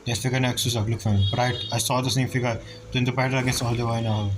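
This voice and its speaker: A man giving casual, rambling gameplay commentary as he reacts to a story, speaking informally and haltingly. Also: medium pitch, little pitch movement, noisy background. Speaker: gamer reacting to a story quest. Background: game music.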